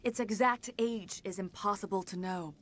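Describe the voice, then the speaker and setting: An actress plays a centuries-old alien woman in a video game drama. Her voice sits at a medium pitch. She phrases everything formally and gravely, with a serene, remorseful dignity. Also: normal speed, a bit bright, lightly acted. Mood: awed, mournful.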